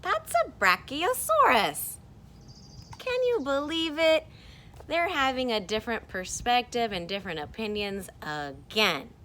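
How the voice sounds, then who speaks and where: A woman teaching kindergartners in a read-aloud lesson, speaking expressively and pausing to ask questions. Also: a little high, slight background noise. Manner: expressive, asks questions and pauses for answers.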